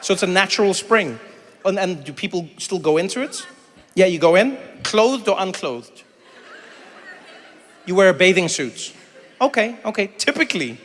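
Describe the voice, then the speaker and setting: A middle-aged man from Africa, doing animated stand-up comedy and crowd work, breaking into shouted impressions and teasing banter. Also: sits high, normal speed, long pauses. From South Africa. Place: stage in a large theater before an audience. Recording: live theater with long hall reverb and faint room noise.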